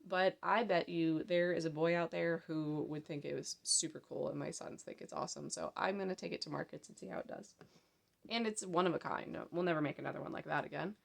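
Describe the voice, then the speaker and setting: A woman's voice: low-pitched, steady tone, fairly quick, creaky. A woman in her thirties with a slight cold, chatting casually about her handmade work in a rambling, friendly way. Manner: chatty, rambling, lots of fillers. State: hoarse from a cold. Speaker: crochet pattern designer and vlogger. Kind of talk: casual show-and-tell chat. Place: home craft room in daylight.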